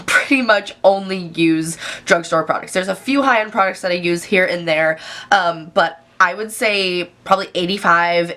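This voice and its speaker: A woman's voice: on the low side, fairly bright tone. A young woman in her twenties with a stuffy, nasal voice from a cold, chatting enthusiastically through a casual makeup review. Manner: chatty, enthusiastic, many fillers. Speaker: beauty vlogger.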